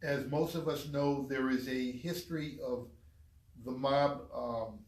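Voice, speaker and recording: A man's voice: mid-range voice, fairly even intonation, a little slow, roomy sound, far from the mic. A man co-hosting a talk show, congested from a cold, talking casually and rambling. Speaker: podcast co-host. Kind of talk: casual chat. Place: small studio.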